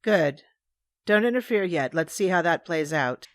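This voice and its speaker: A woman's voice: fairly low pitch, lively intonation, measured pace, dry recording. An actress playing a cold, vengeful female commander who narrates and plots in a space audio drama. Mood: commanding. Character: cold, scheming vengeful woman, former Sith commander. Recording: dry studio with music underneath.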